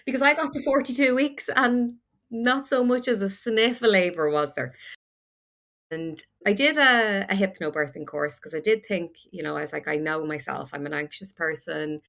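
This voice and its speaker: A woman's voice: mid-range voice, normal speed, vocal fry, fairly bright tone. A woman in her forties with an Irish accent, a podcast guest telling her story frankly with self-deprecating humour. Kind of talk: candid storytelling chat.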